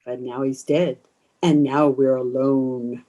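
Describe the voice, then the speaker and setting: Woman playing a slow, low, menacing old vampire woman. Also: level tone, mellow, low-quality recording. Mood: menacing, hungry. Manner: slow, insistent, incantatory. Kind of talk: old-time radio horror acting.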